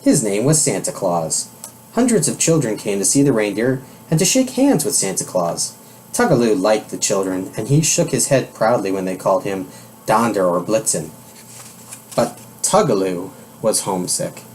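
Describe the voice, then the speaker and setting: A man reading a children's storybook aloud in a measured, expressive manner. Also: on the low side, slight background noise, rough recording. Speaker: storybook reader.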